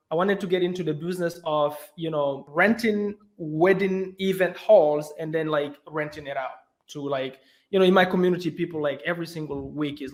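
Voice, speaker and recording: A young man from Belgium, a guest in a casual interview, telling his story in an animated way with lots of "you know" and "right". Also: fairly high pitch.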